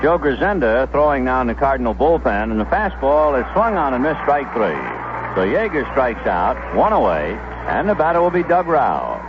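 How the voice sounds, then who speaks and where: A man's voice: mid-range voice, sing-song, normal speed, dull audio, a crowd in the background, background noise. A man giving live play-by-play baseball commentary as a radio announcer on a 1970s broadcast.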